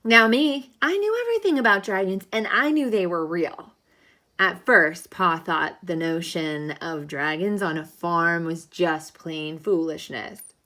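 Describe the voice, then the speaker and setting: A woman schoolteacher reading a picture book aloud with animated, dramatic emphasis and encouraging asides to her pupils. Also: on the low side, unhurried. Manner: encouraging, checks understanding with questions. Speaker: elementary school teacher.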